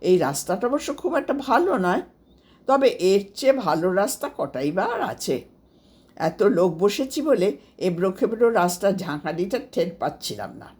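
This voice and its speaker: A woman reading a scripted first-person story aloud as its storyteller, with an expressive delivery. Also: medium pitch, moderate pace, breathy edge. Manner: expressive, acts out quoted dialogue.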